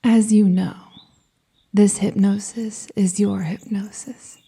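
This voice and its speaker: Very dark, whispery slow woman's voice. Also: medium pitch, fairly even intonation. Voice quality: soft, breathy, whispery. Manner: soothing, gentle, deliberate pauses. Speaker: hypnosis and meditation guide. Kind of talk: scripted guided meditation.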